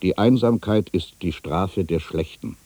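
An older man playing an ageing, bitter writer in a literary radio drama monologue, musing theatrically with rhetorical questions and pauses. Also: sits low, dark tone, no room sound. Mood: indignant recollection. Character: ageing male writer, brooding, bitter, addressing his past.

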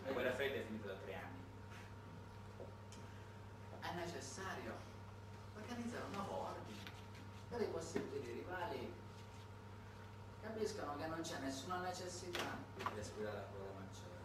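A man's voice: a little high, very animated, normal speed, long pauses. Theatrical man, very echoey and distant. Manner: swings between aside and declamation, rhetorical questions. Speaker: solo theatre monologist. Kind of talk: theatrical satirical monologue, explanatory and conversational. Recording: distant, very echoey, noisy live stage recording.

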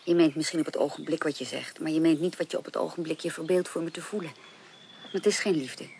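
Radio play: proud young society lady, lowish voice, formal and reproachful, over audible background noise. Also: steady tone, quick pace, creaky, darkish tone. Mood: cool, doubting. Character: proud, candid young upper-class woman rejecting a suitor. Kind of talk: 1980s Dutch radio drama acting.

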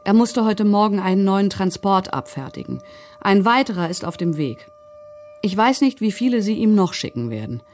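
A woman's voice: medium pitch, sing-song, moderate pace, a little mellow, soft background music, close to an everyday voice. A woman playing a commandant's vain, untroubled wife, reading out her letters and requests in a documentary radio drama. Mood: chatty, admiring.

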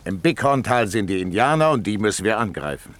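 Low-voiced, dutiful cavalry major. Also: male voice, very animated, fairly quick, fairly bright tone. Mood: stubborn resolve. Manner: formal, stubborn, military. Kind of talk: German radio play acting.

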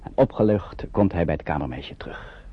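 A man narrating an old radio play as a calm storyteller, with scripted delivery. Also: low-pitched, a little fast. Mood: calm, storytelling. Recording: dull, slightly noisy old radio recording.

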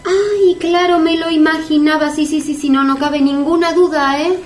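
An actress playing a chatty, ingratiating beauty-cream promoter with a Buenos Aires accent, effusive and polite, repeating herself in comic sales patter. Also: female voice, high voice, fairly even intonation, normal speed, lightly acted. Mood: fussy, alarmed. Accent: Buenos Aires Argentine. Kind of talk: comic radio sketch acting. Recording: distant, echoey, faint background noise.

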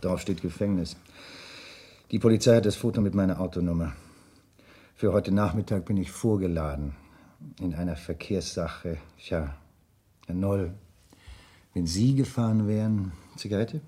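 A guarded, self-assured businessman in a vintage radio crime play. The voice is low and very mellow, with a husky grain. He talks formally, with smooth insistence. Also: moderate pace, pauses often. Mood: persuasive. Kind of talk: 1970s German radio crime drama acting.

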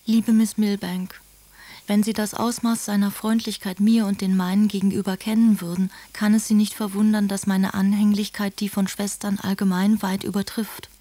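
A woman plays a gentle, devoted noblewoman writing to her future sister-in-law. Her voice is soft-toned and mellow, recorded close and dry. She phrases everything with effusive, formal politeness for a radio drama. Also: medium pitch, flat delivery, moderate pace, dry recording, natural voice. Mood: earnest, courteous. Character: gentle, devoted aristocratic sister, busy young mother. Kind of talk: dramatised reading of letters, German radio play.

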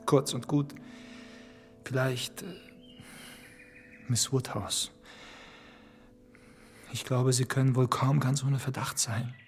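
A young gentleman suitor in a radio drama, gallant and sentimental. He speaks courteously at a middling pitch, with hesitant pauses, over clearly audible music. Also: flat delivery. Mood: hesitant, confiding.

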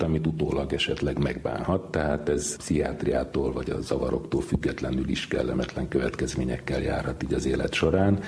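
Very deep gravelly-voiced man explaining. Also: a little mellow. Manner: measured, explanatory. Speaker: stress researcher, interviewed guest. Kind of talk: explanatory interview answers.

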